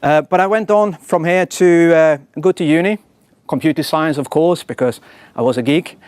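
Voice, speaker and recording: A man from Sweden with a clear Swedish accent talks through a casual conference presentation in a slightly high voice. He rambles in a friendly way, laces his points with fillers and "yeah?", and pokes fun at himself. The sound is dry and close. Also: moderate pace, a little mellow, dry recording. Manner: chatty, self-deprecating, many fillers and tag questions. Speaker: engineering leader giving a talk.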